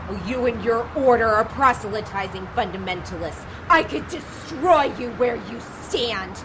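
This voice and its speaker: A woman's voice: on the high side, expressive, normal speed, noisy background, natural voice. An actress playing a proud, disdainful witch who speaks in formal, cold sentences in an audio drama.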